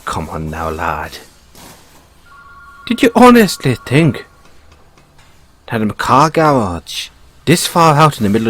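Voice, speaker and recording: A man with an Irish accent voicing a sly, playfully menacing bunny mechanic who is a mafia boss, in an intimate, teasing, unhurried audio roleplay style. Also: on the low side, slightly rough, heavily acted. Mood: smug, menacing. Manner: teasing, unhurried, coaxing with tag questions.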